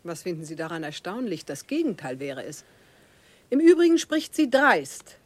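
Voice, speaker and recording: A woman's voice: fairly low pitch, very animated, normal speed, dry recording. An actress playing a haughty, capricious queen of France, imperious and condescending, in a German radio play. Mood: offended, disdainful. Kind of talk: German radio play, theatrical stage diction.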